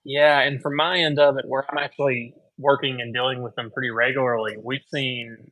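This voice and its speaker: A man with a thick Tennessee accent, an optician chatting casually about eyewear, laid-back and quick to laugh. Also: mid-range voice, flat delivery, sharp tone, dry recording, poor audio. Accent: Southern US, Tennessee. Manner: laid-back, laughs often, frank opinions. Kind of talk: casual chat.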